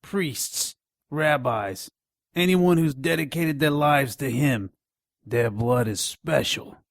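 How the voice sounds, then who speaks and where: A man's voice: mid-range voice, slow pace, creaky, no echo, clean studio sound. A man playing a crude, taunting nonhuman pawn shop dealer in an audio drama. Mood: scornful, sly. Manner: taunting, contemptuous.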